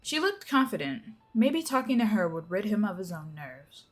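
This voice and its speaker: A woman's voice: mid-range voice, very animated, moderate pace. A young woman narrating a dramatic reading of a fan-fiction story. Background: music.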